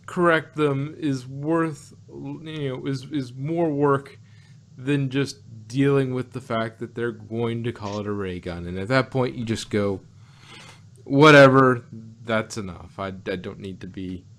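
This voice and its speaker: Casual male podcast co-host, medium pitch, loose and giggly, thinking out loud. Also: measured pace. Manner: rambling, laughs often, restarts and hedges mid-thought. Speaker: podcast co-host reviewing an audio drama. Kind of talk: casual review chat.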